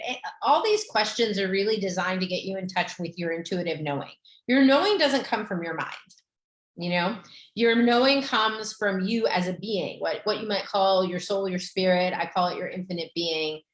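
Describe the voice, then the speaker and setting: A middle-aged woman, a Romanian native speaker, hosting a podcast as a therapist, gently guiding listeners through a tapping exercise with many fillers. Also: medium pitch, reverberant.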